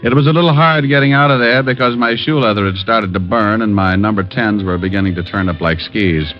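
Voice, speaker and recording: An actor playing a wry, glib private detective in an old-time radio drama. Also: male voice, on the low side, fairly quick, faint music behind, lightly acted. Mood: wry narrating. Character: wisecracking, easily flustered male private detective. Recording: muffled old radio recording.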